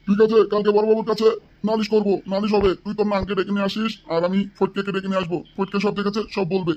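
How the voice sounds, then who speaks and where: Man playing jealous old village husband, high voice, extremely quick talker, nagging, blustering, comic drama. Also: little pitch movement, a little mellow, lo-fi sound, natural voice. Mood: accusing. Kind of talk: exaggerated Bengali comic village drama acting.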